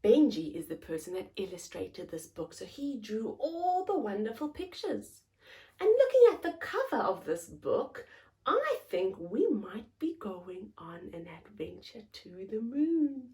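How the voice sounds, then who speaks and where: A woman's voice: medium pitch, big pitch swings, measured pace, mellow. A woman hosting a story time, reading aloud expressively to young children in simple phrases and putting questions to them.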